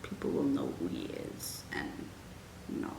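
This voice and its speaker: Slow-talking young woman. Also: extremely low pitch, sing-song, vocal fry. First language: Afrikaans. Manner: chatty, frank, many "like"s and "um"s. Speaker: vlogger answering viewer questions. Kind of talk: casual Q&A chat. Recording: echoey room, faint background hum.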